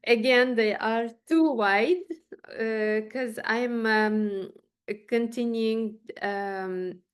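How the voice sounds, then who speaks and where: A woman with a Ukrainian accent answering interview questions casually, haltingly searching for words in English. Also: a little high, clear, resonant tone. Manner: halting, searching for words, chuckles as she talks. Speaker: interview guest, musician and choir teacher.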